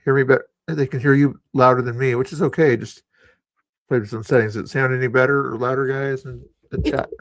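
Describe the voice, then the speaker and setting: A man's voice: medium pitch, steady tone, brisk, dry recording. A man hosting a live stream, chatting in a laid-back way and speaking to his viewers. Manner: laid-back, talks to the chat. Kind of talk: casual live-stream chat. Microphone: streaming microphone.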